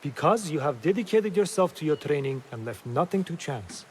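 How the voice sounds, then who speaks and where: An earnest, kindly mentor in a game cutscene. His voice sits a little high and he speaks encouragingly. Faint ambient noise surrounds it. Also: very animated, moderate pace. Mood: encouraging, proud. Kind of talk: video game cutscene acting.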